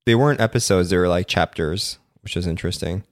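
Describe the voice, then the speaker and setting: Young male co-host, low pitch, dry close sound, casual and filler-heavy. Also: fast, no echo. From Philippines. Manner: relaxed, with many fillers and mock quotes. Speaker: podcast co-host. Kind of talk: casual chat.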